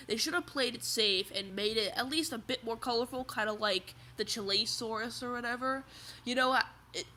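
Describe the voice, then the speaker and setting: Stuffy-nosed teen guy, bright tone, rambling toy-collector chat; keep it loose and restart a lot. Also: very high-pitched, a little hiss or hum. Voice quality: slightly raspy. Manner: rambling, restarts often, chatty. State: stuffy nose, under the weather. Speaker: toy collector video host. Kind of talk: casual livestream chat.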